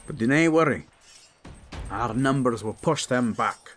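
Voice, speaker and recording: A man's voice: medium pitch, expressive, a little slow, a bit bright, faint music behind. A man playing a proud, battle-hardened old dwarf king in a fantasy audio drama, speaking in a boastful, commanding, folksy way. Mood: confident.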